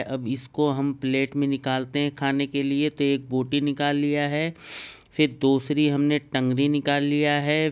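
A man's voice: medium pitch, smooth, muffled recording. A man hosting a cooking channel, walking through each step of a recipe outdoors beside a clay stove. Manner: explains each step, points things out.

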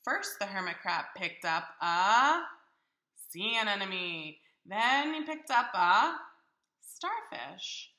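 A woman reading a picture book aloud to young children in an expressive, deliberate, teacherly way. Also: mid-range voice. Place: indoors in a hallway of a home. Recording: echoey room in a house.

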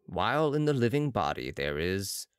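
A reader voicing a solemn, mysterious Italian master who speaks gravely and quietly in an audiobook. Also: low-pitched, big pitch swings, moderate pace, no echo, studio quality, voice pushed down. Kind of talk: audiobook character reading.

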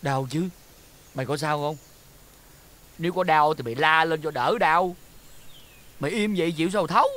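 An actor playing a frightened, whining poor young captive, plaintive and complaining, with a southern Vietnamese accent in dramatic period style. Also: male voice, fairly high pitch, normal speed, pauses often, fairly bright tone, natural voice. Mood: pained, confused.

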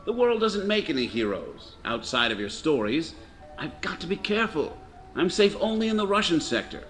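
A man's voice: a little high, sing-song, moderate pace, a bit bright, faint music behind. A man playing a charming, glib American racketeer in a radio drama, jaunty and cynical.

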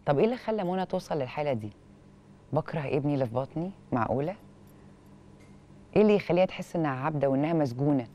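A woman with an Egyptian accent hosting a television show, reading and commenting on a letter in an expressive, questioning way, on a lapel mic in a studio. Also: sits low, rapid-fire, pauses often, slight background noise. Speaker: television host.

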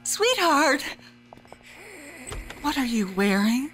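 A woman playing a gentle, devoted mother of a troubled girl in an audio drama. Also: sits high, very animated, slow, soft background music, full character voice. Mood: tearful, loving.

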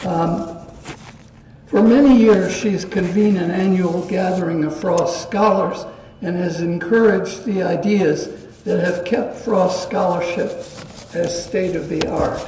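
An older man reading a prepared introduction for a lecture at a podium, measured and steady. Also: high voice. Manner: measured, reads from notes. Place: community meeting room. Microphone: stand mic at a podium. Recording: roomy hall sound, distant mic, faint hiss.

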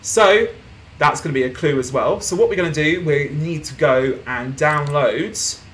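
A man's voice: a little high, normal speed, slight background noise. Chatty young man narrating a game. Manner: chatty, reads on-screen posts aloud, laughs softly. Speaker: gaming streamer. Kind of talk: casual game playthrough commentary. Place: small room at home, indoors.

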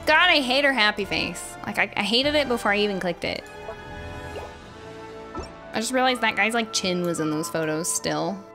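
A woman streaming a game with casual, playful, animated commentary, exclaiming and teasing as she goes. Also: medium pitch, moderate pace, long pauses. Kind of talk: casual live gameplay commentary. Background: game music.

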